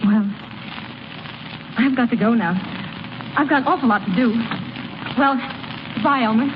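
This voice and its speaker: An actress playing a gentle, reserved young woman who owns a shop, earnest and hesitant, in old-time radio style. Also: on the high side, moderate pace, lightly acted. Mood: regretful, uneasy. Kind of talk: 1930s-40s American radio acting. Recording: muffled, noisy old radio broadcast.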